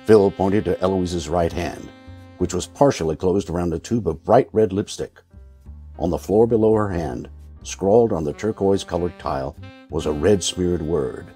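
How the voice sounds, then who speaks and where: A man's voice: low-pitched, normal speed, warm tone, faint music behind, natural voice. A man playing a wry, world-weary private eye, narrating dryly in an old-time radio noir style. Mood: businesslike. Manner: dry, sardonic. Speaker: lead actor and narrator.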